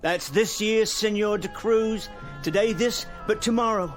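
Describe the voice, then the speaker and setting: A pompous male professor turned mastermind issues formal, precise pronouncements. His voice is pitched high for a man, in theatrical radio drama style over faint music. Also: unhurried. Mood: gloating.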